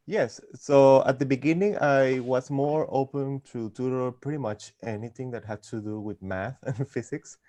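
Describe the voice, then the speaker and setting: Guy, physics tutor, kinda halting, dry close sound. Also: mid-range voice, warm tone, no room sound. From Venezuela. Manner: careful, slightly halting, with "uh" fillers. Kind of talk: casual interview answers.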